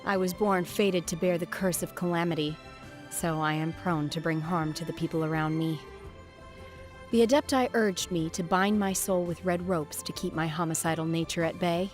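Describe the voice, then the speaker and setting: Actress as aloof young female exorcist from the mountains, game character: lowish voice, solemn, formal, deadpan delivery, faint music underneath. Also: natural voice. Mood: calm, detached. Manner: measured, detached, formal, softly deadpan. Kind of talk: scripted video game character voice lines.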